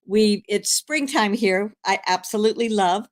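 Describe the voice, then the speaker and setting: An older woman, a grandmother, hosting a live stream in rambling, casual chat, hoarse from a cold, with many fillers and restarts. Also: medium pitch, moderate pace.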